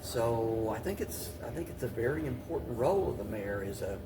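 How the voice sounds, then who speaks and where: A man speaking as an interview guest and city mayor, giving halting, measured answers and often repeating phrases. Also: medium pitch, expressive. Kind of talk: talk show interview answers. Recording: distant mic, clearly noisy room.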